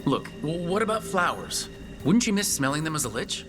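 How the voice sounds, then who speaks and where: An actor playing a sceptical young adventurer hero in a video game, with a casual, wry, questioning manner. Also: male voice, fairly high pitch, sing-song, fairly quick. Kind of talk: conversational video game dialogue. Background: game music.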